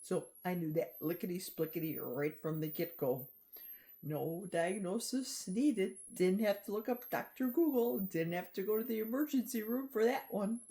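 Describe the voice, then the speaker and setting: A woman's voice: mid-range voice, moderate pace, mellow. A middle-aged woman chatting in a confiding way in a personal vlog, talking straight to her viewers. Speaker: vlogger. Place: outdoors in a forest.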